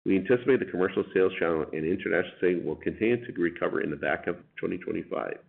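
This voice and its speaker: A man's voice: low voice, very fast, vocal fry. A man speaking as a company executive on an earnings conference call, heard over a narrow phone line. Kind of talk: prepared financial remarks and analyst answers. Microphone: telephone conference line.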